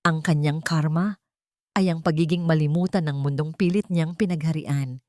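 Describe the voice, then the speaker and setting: A woman narrating a dramatic story in a solemn, measured way. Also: deep voice, fairly even intonation, darkish tone, no echo, studio quality. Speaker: audiobook narrator.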